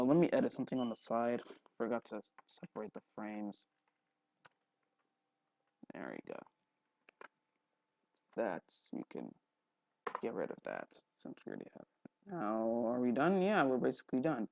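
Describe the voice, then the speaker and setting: A man hosting a casual, unscripted screen tutorial, haltingly repeating words and muttering to himself, recorded on a headphone mic at his neck. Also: medium pitch, wildly swooping pitch, creaky, darkish tone, no echo. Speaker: tutorial host. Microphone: headphone mic held at the neck.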